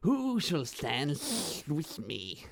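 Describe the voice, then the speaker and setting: A man voicing a sly, insolent undead male cultist with a gurgling, gravelly voice, slow and scheming, heard a little off the mic. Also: a little high, wildly swooping pitch, pitched above the performer's own voice, heavily acted. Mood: defiant.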